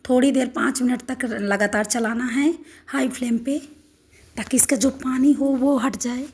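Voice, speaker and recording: Hoarse woman demonstrating home cooking. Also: sits high, fairly even intonation, measured pace, a little mellow. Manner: homely, step-by-step instructions. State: hoarse, sore throat. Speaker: cooking channel host.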